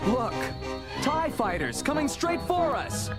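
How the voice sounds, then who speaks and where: An actor playing a young, earnest rebel starfighter pilot in a space adventure audio drama, with a boyish, keen manner. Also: male voice, very high-pitched, very animated, slow pace, over loud music, exaggerated voice. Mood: urgent, excited.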